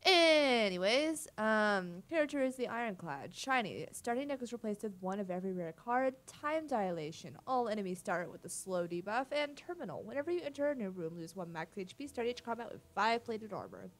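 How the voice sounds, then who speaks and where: A woman's voice: mid-range voice, expressive, fairly quick, hardly any pauses. A young American woman with a cold, casually commentating as she plays a card game at her computer, often muttering to herself. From United States. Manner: laid-back, muttering to herself while playing. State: stuffy with a cold, sniffly. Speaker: gaming channel host. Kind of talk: casual let's-play commentary. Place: home room, seated at computer.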